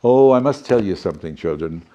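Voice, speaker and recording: A man's voice: low voice, animated, fairly quick, warm tone. A man playing a jolly, fussy professor storyteller who reads a children's storybook theatrically, with chatty asides and muddled words. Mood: chatty, delighted.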